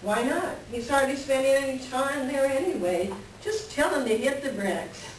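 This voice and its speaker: A woman's voice: sits high, animated, normal speed. Echoey, distant woman acting a frustrated farm wife. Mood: matter-of-fact. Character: lonely, frustrated farm wife aching to be appreciated. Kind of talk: staged play reading, conversational dialogue. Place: library reader's theater reading. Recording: echoey room from far off, faint noise.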